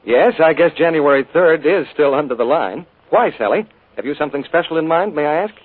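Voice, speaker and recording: Husky male announcer with brisk, cheerful delivery; tinny 1940s broadcast sound. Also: on the high side, lively intonation, dry recording. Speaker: radio announcer. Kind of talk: scripted announcing and commercial patter. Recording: tinny old radio broadcast.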